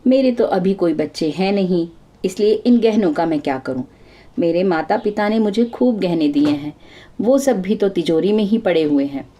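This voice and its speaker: A woman storyteller acts out a young daughter-in-law from a moral tale, pious and headstrong. She speaks in a mellow voice, earnestly instructing and gently bossing her elder. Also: on the low side, lively intonation, normal speed.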